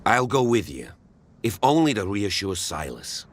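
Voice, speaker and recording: Deep, guarded small-town cop. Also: male voice, very animated, a little slow, slight background noise. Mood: wary. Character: gruff, guarded small-town policeman, protective of locals. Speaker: voice actor in a video game. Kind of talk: scripted game dialogue.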